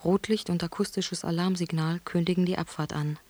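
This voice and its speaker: A woman narrating a radio drama in measured, short recited phrases. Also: on the low side, steady tone, a little mellow. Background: music.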